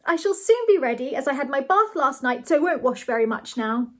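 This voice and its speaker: A woman's voice: high-pitched, animated, fairly quick, voice pushed up. A woman voicing a peevish, haughty young lady in a comic storybook, with a huffy, sneery manner. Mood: airy, bright. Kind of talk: comic storybook character reading.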